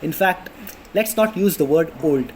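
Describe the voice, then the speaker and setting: A young Indian man in his late twenties talks through home health tips in a loose, chatty way, with lots of "you know" and restarts. His voice is fairly high for a man yet mellow and soft-edged. Faint room hum sits behind him. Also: animated, unhurried. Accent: Indian English. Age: 20s. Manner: chatty, many fillers and restarts. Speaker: vlogger. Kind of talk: casual health tips vlog. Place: apartment in a city under flight paths. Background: planes passing overhead.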